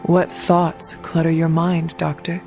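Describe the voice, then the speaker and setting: A woman playing an earnest, formal, steady young warrior heroine in a video game cutscene. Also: sits low, takes it slowly, vocal fry, over music, heavily acted. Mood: supportive.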